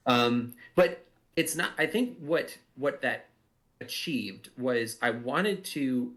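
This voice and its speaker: Thoughtful man chatting, halting as he talks. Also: medium pitch, animated, fairly bright tone. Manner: thoughtful, halting, searching for words. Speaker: audio drama writer giving cast commentary. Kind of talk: casual chat, production commentary.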